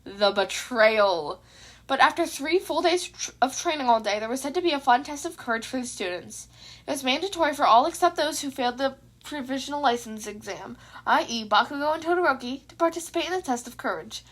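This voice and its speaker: Female narrator, high voice, scripted storytelling, fan fiction reading. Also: animated, brisk, fairly bright tone. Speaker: podfic narrator.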